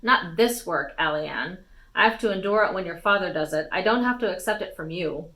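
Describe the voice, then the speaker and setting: A woman voicing a stern, vehement warrior noblewoman and mother in a storybook, speaking emphatically and deliberately. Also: fairly low pitch, lively intonation, fairly bright tone. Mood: stern, angry.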